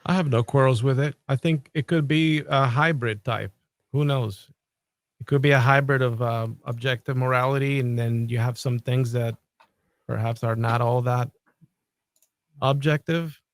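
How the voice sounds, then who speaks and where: A man from Colombia speaks in an unhurried, thoughtful way in a casual podcast chat, his voice at an ordinary middle pitch. The sound is dry and close, with no room around it. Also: steady tone, no echo. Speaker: podcast host. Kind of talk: casual conversation.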